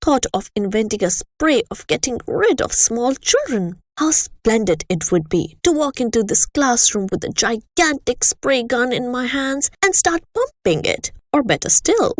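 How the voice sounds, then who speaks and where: A woman voicing a furious, towering headmistress who shouts with contempt at children. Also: on the high side, big pitch swings, measured pace, few pauses, no echo, studio quality. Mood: scornful.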